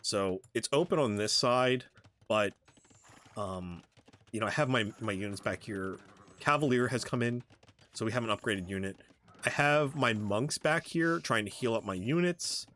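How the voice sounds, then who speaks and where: A man giving casual, halting commentary on his own strategy game match, thinking aloud as he plays. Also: lowish voice, fairly bright tone, a little hiss or hum. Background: background music.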